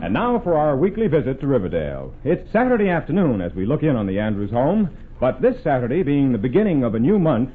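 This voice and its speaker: Animated old-time radio announcer, tinny. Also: male voice, mid-range voice, fast, soft background music. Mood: showy. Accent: American. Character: brisk, showy male radio show announcer. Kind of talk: 1940s-50s radio announcing.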